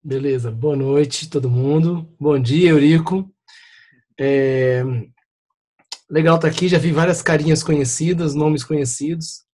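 A man's voice: on the high side, unhurried. A Brazilian man in his fifties, an architect, introducing himself casually and in a friendly way on a web seminar. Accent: Brazilian Portuguese. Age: 50s. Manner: relaxed, friendly greetings with fillers. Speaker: architect and panelist. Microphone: video call.

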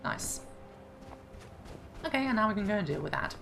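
A woman hosting a casual let's-play, chatting and thinking aloud, her voice slightly hoarse. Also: mid-range voice, big pitch swings, moderate pace, a bit bright. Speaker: gaming channel host. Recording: clear game music behind.

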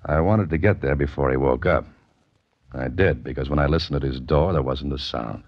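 An actor playing a cool, wisecracking amateur sleuth in a 1940s radio mystery, with a deadpan, clipped delivery. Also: male voice, very deep voice, moderate pace. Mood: cool, pensive. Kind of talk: 1940s radio mystery acting, first-person narration. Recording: muffled old radio recording with music.

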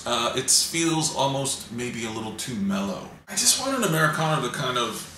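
A man in his forties, dry and teasing, chatting with a friend on a couch. His voice is bright and a little sharp, and it sits back from the mic in a roomy, echoey living room. A faint hiss runs under it. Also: medium pitch, big pitch swings, normal speed, far from the mic. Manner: dry, wry and teasing, reads ads aloud. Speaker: co-host. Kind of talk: casual chat. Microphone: camera a few feet away.

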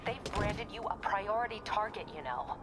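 Lively woman, worried ally, played by an actress. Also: mid-range voice, moderate pace, natural voice. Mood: worried, subdued. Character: steady, caring, worried woman ally of a superhero. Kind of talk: cinematic game acting. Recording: loud cinematic music behind.

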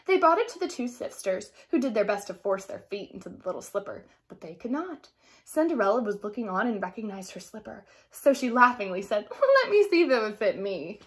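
A young woman reading a children's story aloud in an expressive, theatrical manner. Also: mid-range voice, fairly quick. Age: young adult. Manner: theatrical, explanatory asides. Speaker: storybook reader. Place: small indoor room at home.

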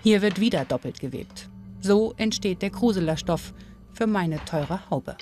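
A woman's voice: mid-range voice, expressive, unhurried. Woman narrating a documentary over music. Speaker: documentary presenter.

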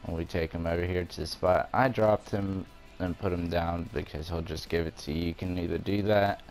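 A man's voice: low-pitched, steady tone, normal speed, darkish tone, faint music behind. A man giving a casual video game walkthrough, explaining things step by step in an informal way. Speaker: gamer presenting a walkthrough.

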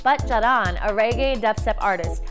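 A woman's voice: on the high side, moderate pace. A young woman presenting a music programme in scripted narration. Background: music clearly playing underneath.

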